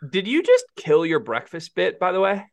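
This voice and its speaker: A man's voice: sits high, wildly swooping pitch, moderate pace. A man co-hosting a casual sports podcast, teasing his partner and laughing often. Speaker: podcast co-host.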